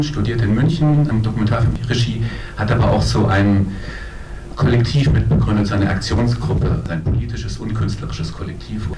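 A man's voice: mid-range voice, recorded from a distance. A man moderating a live film talk, phrasing his questions piece by piece as he thinks aloud. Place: echoey event room. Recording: echoey room from afar, noisy.

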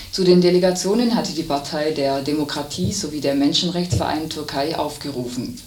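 A news reader delivering scripted radio news. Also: mid-range voice. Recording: roomy, distant, hissy radio recording.

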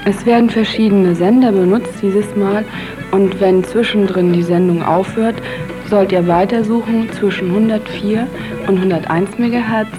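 A woman's voice: mid-range voice, steady tone, moderate pace. A woman announcing a special broadcast on a pirate radio station, speaking directly to listeners. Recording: music playing underneath.

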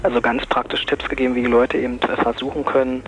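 A man giving a spontaneous, halting explanation as an interviewee. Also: medium pitch, flat delivery, background noise. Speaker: interviewee, drug education association board member.

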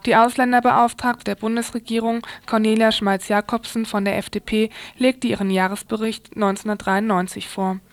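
A woman's voice: a little high, level tone, normal speed, few pauses. A woman presenting radio news from a script.

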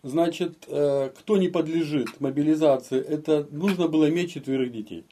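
A man's voice: a little high, normal speed, warm tone, slight background noise. A man giving an explanatory account of his own experience in a casual interview, repeating phrases for emphasis. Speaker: interviewee, eyewitness.

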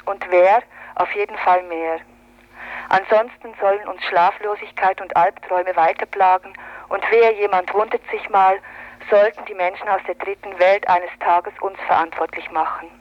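Female radio commentator, medium pitch, reading prepared political copy; muffled 1980s broadcast sound. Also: fairly even intonation, moderate pace. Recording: dull lo-fi 1980s radio recording.

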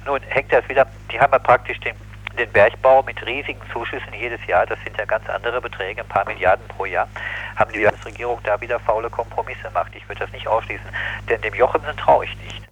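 A man with a low, husky voice gives his critical views in a political interview. He speaks spontaneously and haltingly, often correcting himself. The recording is dull and lo-fi, like old radio. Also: fairly even intonation, fairly bright tone. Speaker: anti-nuclear activist interviewee. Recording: muffled, lo-fi 1980s radio recording.

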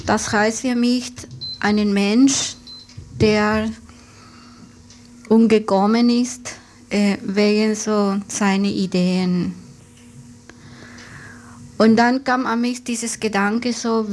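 Woman w being interviewed, flat and slow, searching for words, bit noisy old tape. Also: medium pitch. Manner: halting, searching for words in non-native grammar. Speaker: interview guest. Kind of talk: personal recollection in interview. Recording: clearly noisy old radio recording.

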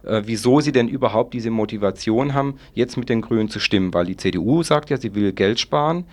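A man's voice: mid-range voice, brisk. A man working as an interviewer, talking off the cuff in a wordy way and circling back over his points. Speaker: radio interviewer. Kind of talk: unscripted interview talk.

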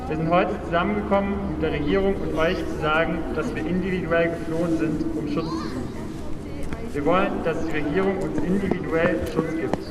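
A man reading a protest speech aloud at an outdoor demonstration, earnest and measured. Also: fairly high pitch, fairly even intonation, recorded from a distance. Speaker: speaker reading a translation. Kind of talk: rally speech read aloud. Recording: echoey outdoor PA from afar. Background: loud traffic and street noise.

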